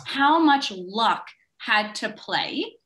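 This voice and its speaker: A woman's voice: fairly high pitch, animated, extremely slow, a bit bright. A young woman leading an interview on a video call, chatty and casual with fillers. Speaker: museum education director, interviewer. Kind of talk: interview questions. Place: home room.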